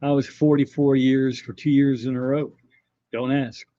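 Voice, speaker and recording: A man's voice: medium pitch, level tone, moderate pace, mellow, no echo. A middle-aged man hosting a casual livestream, rambling and joking as he reads and answers viewer comments. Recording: dull, slightly muffled audio.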